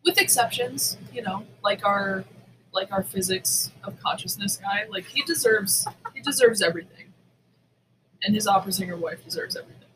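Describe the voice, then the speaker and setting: A woman's voice: mid-range voice, moderate pace, background noise. A woman co-hosting a casual chat, speaking emphatically and indignantly, swearing freely and repeating words for stress. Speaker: podcast co-host.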